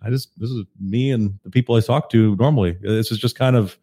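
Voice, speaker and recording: A man with a very low, deep voice talks in an unhurried, calm way. He sounds relaxed and easygoing, poking fun at himself in a casual podcast conversation. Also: slightly rough. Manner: relaxed, self-deprecating, chuckling often. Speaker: podcast host and guest.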